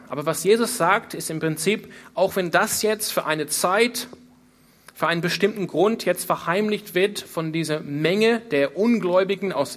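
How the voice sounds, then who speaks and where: A man's voice: fairly high pitch, fairly bright tone. A man preaching a sermon, speaking earnestly with emphatic repetition and rhetorical questions. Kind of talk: sermon, expository Bible preaching.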